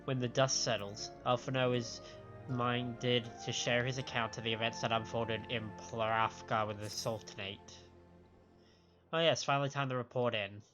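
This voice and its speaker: A man's voice: medium pitch, steady tone, moderate pace, fairly bright tone, dull audio. A man on a live game stream, reading dialogue aloud with stumbles over the words and reacting to it. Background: game music.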